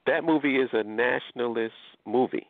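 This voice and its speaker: A man's voice: medium pitch, normal speed, vocal fry, no echo. A man from Nigeria hosting a casual group discussion, talking in an emphatic, blunt way with some cursing. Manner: emphatic, profane, animated. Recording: tinny phone-like line.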